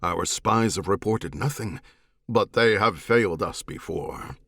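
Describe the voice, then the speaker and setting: Audiobook role: loyal alien soldier acted by a male narrator, low, stern, wary, formal, very dry sound. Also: unhurried, vocal fry, a bit bright, no echo, full character voice. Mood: grim, bitter. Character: loyal, battle-hardened alien warrior escort, male, earnest and wary. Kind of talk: audiobook character dialogue.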